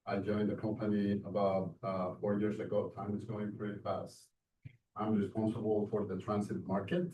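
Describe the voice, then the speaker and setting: A man in his sixties from Mexico with a Mexican Spanish accent, giving a conference talk and speaking haltingly with many fillers and restarts. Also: fairly low pitch, flat delivery, narrow, tinny audio, crowd noise. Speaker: transit industry speaker. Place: event room. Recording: roomy, distant mic with faint noise.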